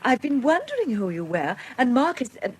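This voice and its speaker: An actress playing a genteel, anxiously affectionate lady in a radio drama, polite and expressive. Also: high voice, brisk, slight background noise. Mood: curious, polite. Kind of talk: period radio drama acting.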